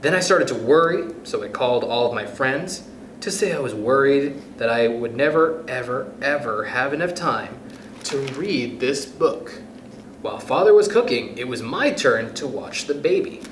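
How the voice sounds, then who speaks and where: Expressive man in an echoey room. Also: mid-range voice, normal speed. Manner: expressive, addresses young listeners directly. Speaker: children's storytime host. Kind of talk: picture book read-aloud for children. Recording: roomy echo with faint background noise.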